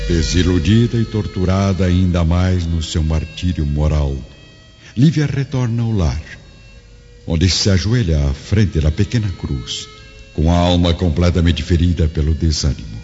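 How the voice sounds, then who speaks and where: A man's voice: deep voice, unhurried, dark tone. A man narrating a radio drama from a script in a solemn, literary, dramatic style. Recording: dull lo-fi radio drama audio. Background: background music.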